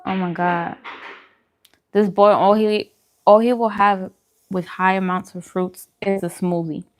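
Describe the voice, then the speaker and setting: A woman's voice: medium pitch, steady tone, unhurried. A woman chatting informally with her live-stream viewers, answering comments as she goes. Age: adult, parent. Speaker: food vlogger and live streamer.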